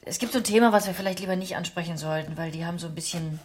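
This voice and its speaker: An actress playing a tactful, slightly anxious hostess in an improvised German radio comedy drama, talking casually and tentatively while cooking. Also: female voice, lowish voice, very fast, lightly acted. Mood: cautious, tactful. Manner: tentative, hedging, conversational. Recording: clear kitchen clatter noise. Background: kitchen clatter, dishes, clicks.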